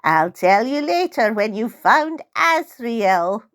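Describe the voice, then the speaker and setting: A woman voicing a strange, impatient old lady with a thin, high, trembling voice in a storybook reading. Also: big pitch swings, a bit bright, exaggerated voice. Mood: eerie, insistent. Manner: barking, slow, drawn-out questions.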